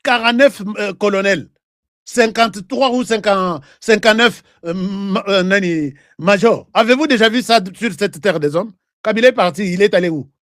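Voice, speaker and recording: A high-pitched man with a Congolese accent hosts an online political commentary, recorded close and dry. He is animated and argumentative, firing rhetorical questions at his viewers and repeating phrases for emphasis. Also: moderate pace, no echo. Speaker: online political show host. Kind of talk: live political commentary to viewers, mixing French and Lingala.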